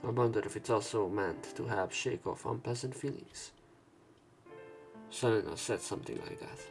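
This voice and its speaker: Low, creaky-voiced man narrating. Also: moderate pace. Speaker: let's play narrator and commentator. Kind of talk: reading visual novel text aloud with casual asides. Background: visual novel game music and sound effects.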